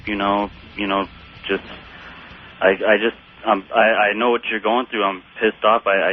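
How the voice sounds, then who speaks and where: A man in his early twenties calling a radio show, chatting casually in a halting, repetitive way. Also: lowish voice. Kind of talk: casual chat. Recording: dull, noisy call-in line on radio.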